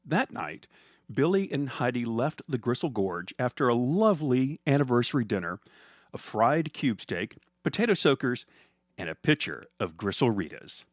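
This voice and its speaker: A man's voice: medium pitch, big pitch swings, normal speed, dry recording, clean studio sound. A man narrating a scripted comedic story as a storyteller.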